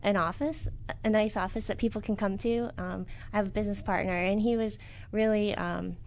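Brief: middle-aged woman, business owner, interviewee; soft-spoken, halting, lots of ums. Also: mid-range voice, steady tone, a little hiss or hum. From United States. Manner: soft-spoken, hesitant with many fillers. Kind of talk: interview answers.

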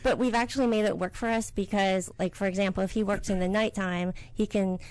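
Brief: middle-aged woman, business owner, interviewee; soft-spoken, halting, lots of ums. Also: mid-range voice, fairly even intonation, rough recording. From United States. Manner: soft-spoken, hesitant with many fillers. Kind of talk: interview answers.

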